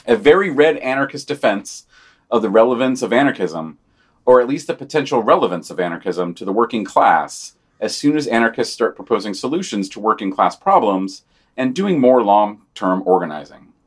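A man's voice: fairly low pitch, expressive, moderate pace, breathy edge. Dry-toned man reading a podcast digest. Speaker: podcast co-host. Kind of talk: scripted news digest with quotes and dry asides.

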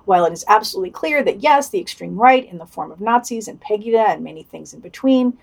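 A woman's voice: fairly high pitch, darkish tone, slightly rough. Woman reading podcast news commentary, wry. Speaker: podcast co-host. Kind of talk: scripted news commentary read aloud.

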